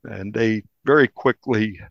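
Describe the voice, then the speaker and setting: A man, a newspaper reporter, recounting a crime case in an interview with measured, deliberate storytelling. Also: on the low side, gravelly, no room sound, lo-fi sound.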